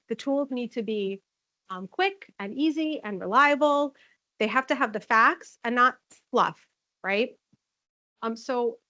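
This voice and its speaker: A loud-voiced woman presents at a conference, friendly and chatty with plenty of "um"s. Her voice sits a little high and the sound is dry and slightly dull, with the mic close. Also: no room sound. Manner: friendly, chatty, frequent "um" fillers. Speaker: conference presenter, nursing informatics manager. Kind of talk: conference talk with slides. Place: conference room. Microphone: handheld or podium microphone.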